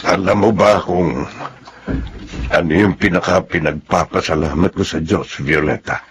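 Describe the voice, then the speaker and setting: A man's voice: sits low, fairly even intonation, measured pace, soft background music. An actor playing an ailing father in a Filipino drama, formal and emphatic in his delivery. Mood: tender, grateful. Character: stern, hurt ailing father reproaching his grown sons. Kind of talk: Filipino radio drama acting.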